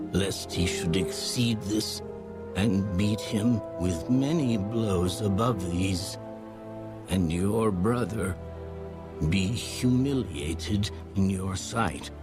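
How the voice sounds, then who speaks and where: A man narrating scripture from a script, solemn and deliberate, with measured phrasing. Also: on the low side. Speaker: audio Bible narrator. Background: background music.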